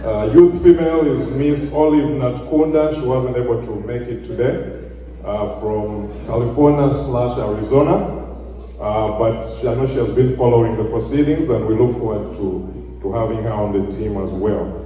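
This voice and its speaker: A man introducing members of a party team to a gathering, in a plain, measured way with some fillers. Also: medium pitch, dark tone. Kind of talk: speech to a gathering. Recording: echoey hall, from far off.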